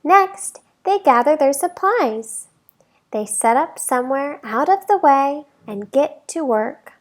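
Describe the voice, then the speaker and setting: A woman reading a children's picture book aloud as a teacher, with expressive delivery and deliberate, emphatic phrasing for young listeners. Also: high-pitched.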